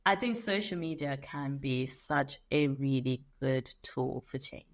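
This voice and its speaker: Guest: young Zimbabwean-accented woman, low voice, lively storyteller. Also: measured pace. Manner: animated storyteller, acts out quoted voices. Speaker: guest, lawyer. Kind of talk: conversational interview.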